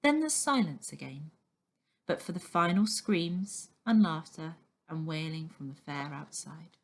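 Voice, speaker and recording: A woman's voice: sits low, lively intonation, unhurried. A woman reading a children's novel aloud as scripted narration. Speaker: story reader.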